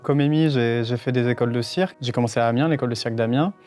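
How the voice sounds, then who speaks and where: A man's voice: mid-range voice, very fast, a little mellow. A young man speaking in a colloquial, conversational way about his own work as a circus acrobat in an interview. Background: music.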